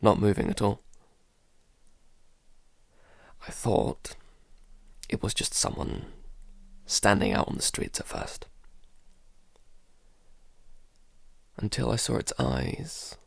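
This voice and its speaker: Male actor as anxious, sleepless shut-in for audio drama diary; low creaky voice, halting, sighing, very dry close sound. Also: animated, no echo, exaggerated voice. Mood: haunted. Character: Jason, anxious, sleepless man barricaded at home, keeping a diary. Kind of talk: audio drama, first-person diary monologue.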